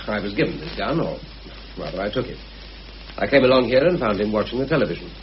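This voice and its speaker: A man's voice: fairly low pitch, expressive, fast, lightly acted. An actor playing a stubborn, defensive male murder suspect in a radio play, giving clipped answers. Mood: terse, resigned. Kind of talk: radio murder mystery acting. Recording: muffled, lightly hissy old radio recording.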